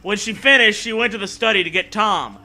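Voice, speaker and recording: High-voiced man, piercingly bright, hosting comedy: theatrical, sweary, sarcastic story reading with joking asides. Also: a little fast. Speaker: comedy show host. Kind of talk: mocking read-aloud of a story with joking asides.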